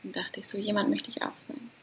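A woman in her thirties from Hamburg, talking casually and hesitantly as a guest, with many pauses and light laughs. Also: mid-range voice, completely flat delivery, dark tone, husky. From Hamburg, Germany. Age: 30s. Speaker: guest, doula. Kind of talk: casual interview talk.

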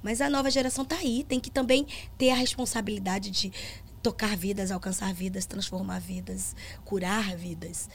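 A woman's voice: medium pitch, moderate pace, a little hiss or hum. A middle-aged woman with a Brazilian accent, a gospel singer telling stories in a casual interview and acting out the dialogue. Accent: Brazilian Portuguese. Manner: animated storyteller, acts out quoted dialogue.

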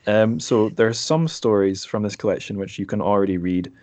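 A man's voice: fairly low pitch, brisk, a little mellow. A man hosting a podcast, chatting casually and informally through an interview about books, with asides as he explains. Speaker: podcast host.

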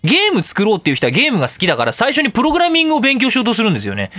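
Animated, high-pitched fast-talking man. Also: no echo, clean studio sound. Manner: animated, geeky enthusiast with vocal sound effects. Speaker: radio talk show host. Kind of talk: casual rambling radio chat about games.

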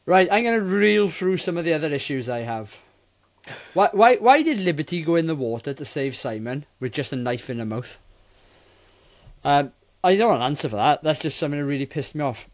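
A man in his forties with a slightly high voice co-hosts a film review chat. He talks casually and gives blunt opinions. His manner is exasperated and sweary. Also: big pitch swings, brisk. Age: 40s. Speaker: film review podcast host.

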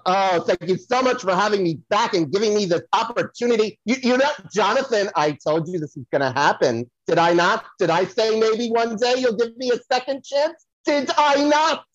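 Man playing a high-voiced, gushing celebrity psychic. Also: normal speed, hardly any pauses, no room sound, highs cut off, natural voice. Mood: effusive, eager. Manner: effusive, rambling, repeats himself for emphasis. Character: flamboyant, touchy, self-promoting male celebrity psychic. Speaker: podcast guest. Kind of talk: comedic audio play banter.

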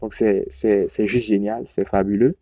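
Hesitant man recommending podcasts, mellow voice. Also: on the low side, flat delivery, moderate pace, no echo. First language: a language derived from Yoruba. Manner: hesitant, many repeated words and restarts. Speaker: podcast reviewer. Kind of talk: casual recommendation monologue.

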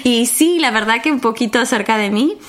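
A woman's voice: on the high side, fairly quick, fairly bright tone. Effusive Argentine woman guest. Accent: Argentine Spanish mixed with Mexican and Spanish. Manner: effusive, mixes English phrases into Spanish. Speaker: podcast guest, spiritual facilitator. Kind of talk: casual conversation. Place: home.

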